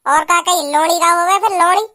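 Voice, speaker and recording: A man voicing a stubborn, whining teenage son in a cartoon, with a western Uttar Pradesh accent and a pitched-up voice. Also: steady tone, fairly quick, pushed and bright. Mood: offended. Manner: argumentative, whining. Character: stubborn, indignant teenage son, proud of his schooling. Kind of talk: comic cartoon dialogue in rustic dialect. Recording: faint background noise.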